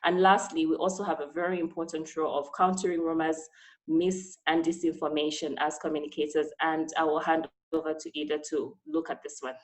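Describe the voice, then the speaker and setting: Earnest woman speaker, low voice. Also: little pitch movement, fairly quick, rough recording. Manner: earnest, advising, with fillers. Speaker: webinar speaker. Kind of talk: presentation on a video call.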